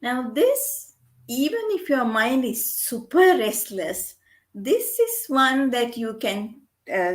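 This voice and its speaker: Sri lady doctor teaching meditation, slow and gentle, keeps repeating the main point. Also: mid-range voice. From Sri Lanka. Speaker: guest lecturer, medical doctor. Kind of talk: guest lecture on meditation.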